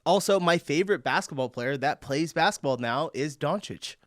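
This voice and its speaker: A man's voice: fairly high pitch, lively intonation, no room sound, clean studio sound. A man hosting a reaction video, talking casually, swearing now and then and laughing easily. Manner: laid-back, swears casually, quick laughs.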